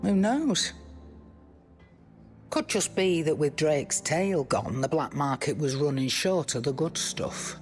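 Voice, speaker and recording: Blunt, lively Northern English fantasy trader woman. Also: sits low, takes it slowly, soft background music. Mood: speculating. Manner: plain-spoken, folksy. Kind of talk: video game dramatic dialogue.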